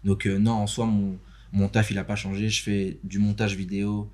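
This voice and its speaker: A young man from Benin talks about his work in a relaxed, halting way, stopping often to restart his sentences. His voice sits a little low. He sounds like a guest chatting on a podcast. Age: young adult. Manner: hesitant, many fillers and restarts. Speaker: podcast guest, interview host and motion designer. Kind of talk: casual chat. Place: podcast studio.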